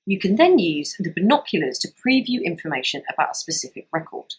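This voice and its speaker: A woman narrating a scripted software tutorial as a trainer, explaining things step by step in an instructional way. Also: on the low side, very animated, a little fast, breathy edge.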